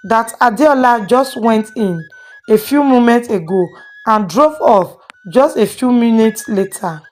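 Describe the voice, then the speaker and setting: A woman storyteller hosting and dramatically narrating a moral tale. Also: on the high side. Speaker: storyteller and channel host.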